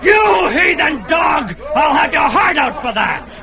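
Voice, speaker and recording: A man's voice: very high-pitched, big pitch swings, measured pace, vocal fry, raised voice, a crowd in the background, exaggerated voice. An actor playing a fat, boastful male kidnapper in a radio drama, bellowing and jeering with frequent laughter. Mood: furious.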